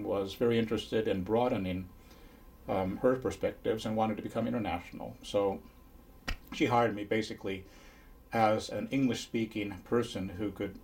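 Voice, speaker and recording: A man in his sixties from Finland, telling his life story in an interview at a measured pace with pauses. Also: on the low side, fairly even intonation, darkish tone. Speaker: interviewee, criminologist. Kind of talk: reflective spoken memoir. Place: small indoor interview set.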